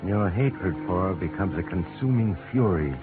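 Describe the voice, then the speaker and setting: An actor playing an ominous, knowing narrator, deliberate and insinuating, in old-time radio suspense style. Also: male voice, sits low, expressive. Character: ominous knowing narrator addressing the protagonist as you. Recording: dull vintage radio recording with music.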